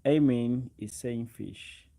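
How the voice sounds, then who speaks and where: Gravelly-voiced young Ugandan man chatting. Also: medium pitch, slow, mellow. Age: 20s. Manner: repeats phrases, addresses viewers directly. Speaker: live streamer. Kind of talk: casual livestream chat, reading and answering comments.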